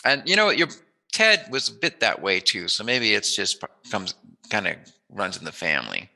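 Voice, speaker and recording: A man's voice: lowish voice, sing-song, moderate pace, gravelly, bright, forceful tone, rough recording. A man hosting a casual, chatty interview, tossing in joking asides as he asks questions. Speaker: interviewer, host.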